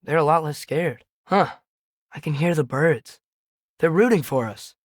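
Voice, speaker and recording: A man's voice: on the high side, lively intonation, unhurried, no echo, studio quality. A young male actor with an American accent playing an earnest, curious teenage boy adventurer in a video game, with a light youthful voice and casual, observant delivery. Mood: thoughtful, curious. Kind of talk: video game companion dialogue.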